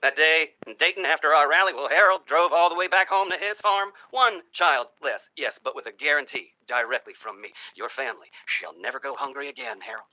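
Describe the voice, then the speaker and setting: A man playing a folksy, sinister presidential candidate, giving a theatrical stump speech with dramatic pauses and hushed asides in a vintage radio style. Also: a little high, no echo, poor audio. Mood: sinister, gleeful. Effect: vintage old-time radio treatment. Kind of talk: theatrical campaign stump speech, satirical horror monologue.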